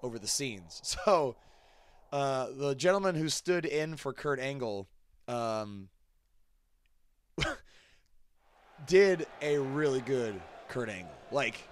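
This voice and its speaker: A man giving casual, sarcastic reaction commentary as host, talking in an animated way. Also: mid-range voice, takes it slowly, pauses often. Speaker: host, performer from the game commenting on its cut scenes.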